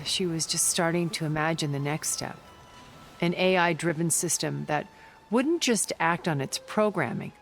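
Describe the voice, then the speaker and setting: A gravelly, secretive schemer. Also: male voice, on the high side, a bit bright. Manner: measured, composed storytelling. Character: secretive wealthy male schemer, measured and calm. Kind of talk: video game cutscene acting.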